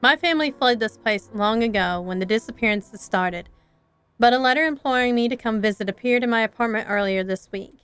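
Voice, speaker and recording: Expressive woman streamer with a clear high voice. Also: brisk, no room sound. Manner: expressive, chatty. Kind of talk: live game commentary and dramatic read-aloud of a visual novel.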